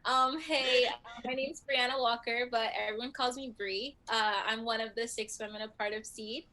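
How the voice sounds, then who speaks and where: A woman's voice: fairly high pitch, fairly even intonation, moderate pace, bright, forceful tone, poor audio. A twenty-four-year-old woman from New York, a design student introducing herself cheerfully. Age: 20s. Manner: cheerful, eager.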